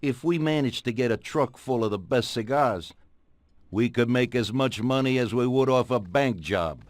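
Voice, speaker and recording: An actor playing a genial but domineering older mafia boss in a video game, with an expansive, patronizing manner. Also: male voice, mid-range voice, moderate pace, darkish tone, no room sound, lightly acted. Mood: relaxed, persuasive. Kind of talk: video game cutscene acting.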